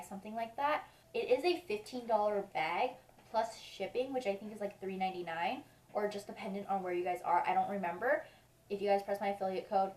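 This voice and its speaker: A woman's voice: mid-range voice, a little mellow. Stuffy-nosed woman chatting in a roomy space. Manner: chatty, rambling, repeats reassurances. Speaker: beauty vlogger. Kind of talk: casual unboxing review. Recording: roomy sound, mic at a distance.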